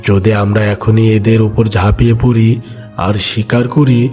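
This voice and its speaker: A man's voice: on the low side, little pitch movement, measured pace, darkish tone, soft background music, close to an everyday voice. A man voicing a cautious, scheming tiger uncle who explains his plans in a cartoon story. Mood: cautioning.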